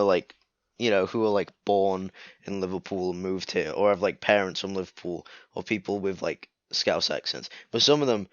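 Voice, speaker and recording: A young man from northwest England, a podcast guest chatting casually in a relaxed, rambling way, leaning on "like" a lot. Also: lowish voice, no room sound, muffled recording. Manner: relaxed, rambling, heavy use of "like". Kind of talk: casual chat.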